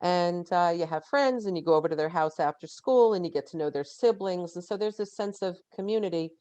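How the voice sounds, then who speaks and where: A woman in her fifties, a guest on a podcast interview, talking in an earnest, reflective way and pausing to find her words. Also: on the low side, mellow, dry recording. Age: 50s. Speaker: guest, genetic genealogist.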